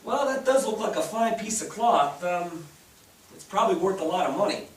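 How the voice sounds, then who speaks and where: Man acting pushy customs officer, animated and high-pitched. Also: normal speed. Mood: sly, appraising. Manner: hesitant calculating ums, then bossy demands. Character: pushy, crooked customs officer bullying a boy. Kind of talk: staged play reading. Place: theatre stage. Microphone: distant microphone. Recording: distant, echoey stage pickup.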